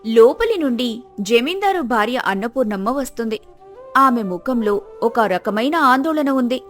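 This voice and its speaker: A woman's voice: a little high, animated, moderate pace, faint music behind. A woman narrator delivering scripted storytelling narration for a moral tale.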